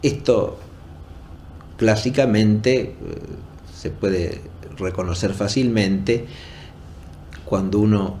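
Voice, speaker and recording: A man giving an unscripted academic lecture in a formal, didactic and deliberate way, rephrasing as he goes and often checking in with short tag questions. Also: fairly low pitch, steady tone, a little mellow, slight background noise. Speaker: philosophy lecturer.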